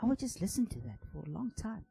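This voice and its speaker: Soft-spoken man, dark husky voice. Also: high-pitched, very animated, fast talker. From South Africa. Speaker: composer and bass player speaking at a conference. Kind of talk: personal story told in a conference talk.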